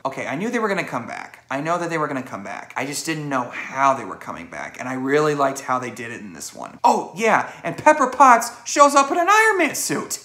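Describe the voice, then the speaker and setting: An excitable, emphatic man giving an animated movie review and retelling scenes. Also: medium pitch, fairly quick, few pauses, roomy sound. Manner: excitable, emphatic, acts out reactions.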